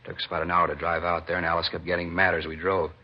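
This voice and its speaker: A man's voice: sits low, monotone, racing. An American man playing a casual, grumbling man-about-town suspect in a 1950s radio drama, talking in breezy, clipped phrases. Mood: griping. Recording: muffled vintage radio recording.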